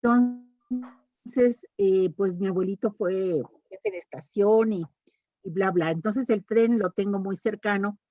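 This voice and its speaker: A woman's voice: medium pitch, slow pace, warm tone, no room sound, lo-fi sound. A woman chatting casually as a panelist in a round-table talk. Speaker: panelist talking about reading habits.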